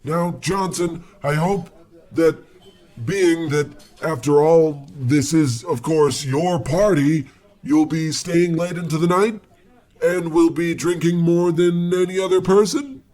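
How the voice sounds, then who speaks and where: A man's voice: fairly high pitch, slow pace, a little mellow. A man playing a pompous, bossy government office boss with bombastic, theatrical delivery in a comic radio play. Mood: grand, festive. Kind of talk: comic old-time radio play acting.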